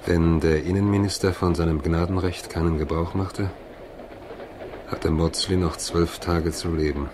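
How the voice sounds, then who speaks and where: A man's voice: deep voice, fairly even intonation, a little slow, background noise. An actor playing a sombre, doubting male lawyer who narrates a German radio drama in a sober, grave manner.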